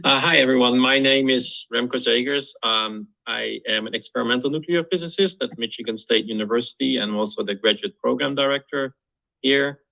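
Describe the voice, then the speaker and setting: A man's voice: medium pitch, fairly quick, gravelly, sharp tone, no room sound, poor audio. A man from the Netherlands, a physics professor, introducing himself on an online panel with light chuckles. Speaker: physics professor, program director.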